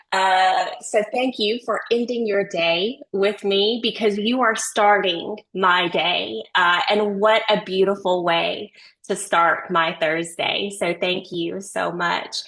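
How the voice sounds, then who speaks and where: Slow-talking Texan woman with a Southern drawl. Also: medium pitch, low-quality recording. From Texas. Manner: warm, effusive, slow-paced. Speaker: nurse educator. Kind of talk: webinar lecture. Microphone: computer mic on video call.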